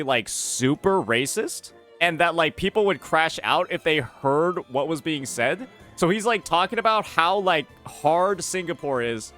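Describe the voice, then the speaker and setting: A young man with a high, bright voice picks apart a clip with incredulous, mocking asides. His delivery is casual commentary. Faint music runs beneath. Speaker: commentary youtuber. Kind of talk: reaction commentary.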